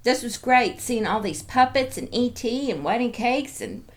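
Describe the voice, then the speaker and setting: A woman's voice: on the high side, animated, moderate pace. A woman hosting a casual show-and-tell, chatting playfully and cooing as if to little toys and viewers. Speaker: host of a toy show-and-tell video.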